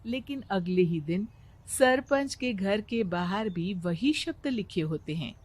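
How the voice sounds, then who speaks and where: A woman's voice: mid-range voice, expressive, takes it slowly, darkish tone. Plain woman narrating a cartoon story.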